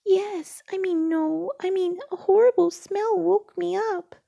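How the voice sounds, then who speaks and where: A woman's voice: extremely high pitch, a little slow, gravelly, warm tone, voice pushed up, exaggerated voice. A woman voicing a small, timid male piglet from a storybook, talking in a flustered, anxious way. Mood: flustered, nervous.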